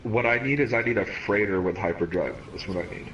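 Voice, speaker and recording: Low-voiced man in his thirties, distant and roomy. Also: quick pace, fairly bright tone. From Cayman Islands, raised in America. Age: 30s. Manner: rambling, repeats words when thinking aloud. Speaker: stream host. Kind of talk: casual gaming chat. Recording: roomy, distant mic with faint noise.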